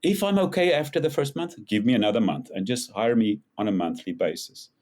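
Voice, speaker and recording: An older man with a South African accent, a podcast guest telling his story in a lively, animated way. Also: fairly low pitch, fairly quick. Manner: animated storyteller, rises when acting out quotes. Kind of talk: casual interview storytelling.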